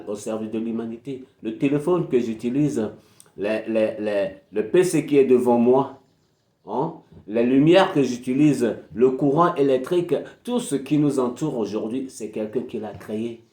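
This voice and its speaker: Guy preaching motivation at his desk, middle pitch, echoey room. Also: mellow. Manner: preachy, emphatic, repeats phrases for effect. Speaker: motivational speaker. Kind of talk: motivational talk.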